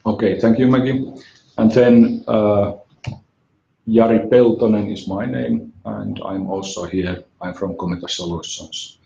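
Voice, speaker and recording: A man hosting a webinar, speaking haltingly with repeated words and pauses. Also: on the low side, fairly even intonation, gravelly, dark tone, muffled recording. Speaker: webinar host, software company representative. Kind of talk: webinar presentation. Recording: echoey room, faint music.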